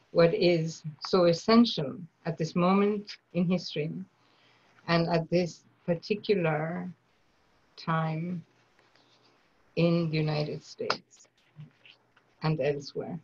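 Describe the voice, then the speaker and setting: Argentine woman, low voice, unhurried trainer on a muffled video call. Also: a little mellow, husky. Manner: slow, deliberate, earnest delivery. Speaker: nonviolence trainer. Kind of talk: online workshop teaching. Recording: dull lo-fi online audio.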